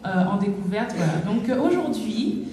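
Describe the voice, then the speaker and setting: A woman's voice: mid-range voice, animated, normal speed, mellow. Hesitant woman. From Guadeloupe. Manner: hesitant, many fillers and restarts. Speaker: singer visiting a school. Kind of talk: informal talk to schoolchildren. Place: large echoey hall. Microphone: distant microphone. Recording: echoey hall from far off, background noise.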